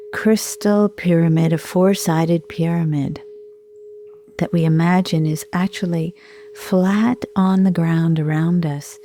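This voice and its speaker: A middle-aged woman leading a guided meditation in a soothing, unhurried manner with gentle pauses. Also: fairly low pitch, slight background noise. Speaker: sound healer and meditation guide.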